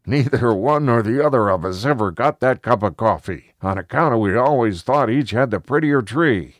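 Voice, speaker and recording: Man acting old male villager, folksy, rambling, cheerful yet lonely, pitched up, very animated, storybook character. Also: moderate pace, no echo, studio quality, lightly acted. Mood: amused, rambling. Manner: rambling, folksy old-fashioned country speech.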